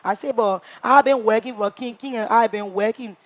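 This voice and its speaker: A woman playing a brash reality-show contestant who tells her story in an animated way and acts out what others said. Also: on the high side, moderate pace, lo-fi sound, lightly acted.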